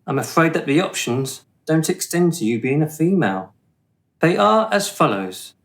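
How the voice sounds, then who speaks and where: A man playing a smooth, condescending afterlife official in a radio play, officious and deliberate in manner. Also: a little high, big pitch swings. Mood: smugly amused. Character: smooth, condescending male afterlife bureaucrat judging the dead.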